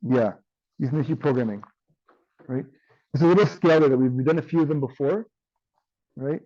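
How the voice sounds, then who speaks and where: A man teaching an online class with a tired, strained voice, often checking in with "right?" and filling pauses with um. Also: mid-range voice, dark tone, dry recording, muffled recording. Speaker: instructor.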